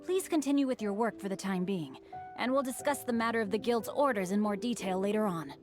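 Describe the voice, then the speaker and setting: A woman's voice: medium pitch, fairly quick, lightly acted. A woman voicing a courteous, bookish young man in a video game, speaking formally and eloquently over music. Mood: composed. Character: refined, bookish young male guild heir, courteous. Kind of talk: video game character acting. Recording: music behind the voice.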